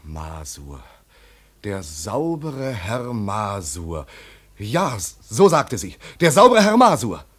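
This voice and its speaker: An actor playing a nervous, evasive former German official in a radio drama, speaking hesitantly and deferentially. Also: male voice, lowish voice, sing-song, slow, fairly bright tone, breathy edge, heavily acted. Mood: agitated, recounting.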